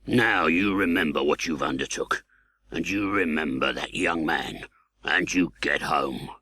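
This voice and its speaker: A menacing escaped convict from a classic storybook. The voice is low and harsh, with a rasping edge and rough English working-class speech. It is forceful and threatening, in a dry recording. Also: male voice, unhurried, no room sound, heavily acted. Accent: English working-class dialect. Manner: threatening, growling, uneducated speech. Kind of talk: audiobook character acting.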